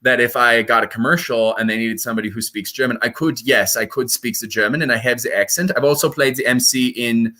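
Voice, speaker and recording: A young man from Colorado, a stage performer, chatting casually in an interview with lively, self-deprecating asides. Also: mid-range voice, a little fast, hardly any pauses. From Colorado, USA. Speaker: guest performer.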